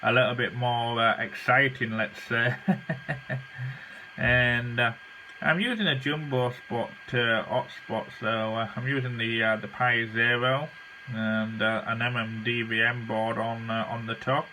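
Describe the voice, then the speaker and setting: A man talking as an amateur radio operator in a halting, casual way, with frequent hesitations and repeats. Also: medium pitch, steady tone, background noise. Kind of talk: casual ham radio chat.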